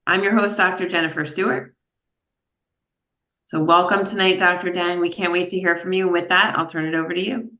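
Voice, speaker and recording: A woman's voice: fairly low pitch, steady tone, a little fast, pushed and bright. A woman hosting an online event, giving a brief, formal welcome to the guest speaker. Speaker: host. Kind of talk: event introduction. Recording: echoey room.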